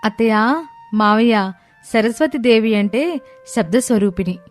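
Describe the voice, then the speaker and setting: A woman voicing a wise, gentle, devout eldest daughter-in-law in a family story, earnest and explanatory. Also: on the high side, expressive, measured pace, soft background music. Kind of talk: scripted story dialogue.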